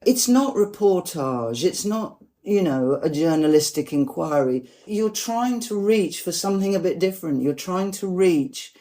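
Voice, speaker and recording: A woman poet speaking in an earnest, reflective way about language, slowing down to stress her ideas. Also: on the low side, lively intonation, mellow. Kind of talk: reflective conversation about poetry.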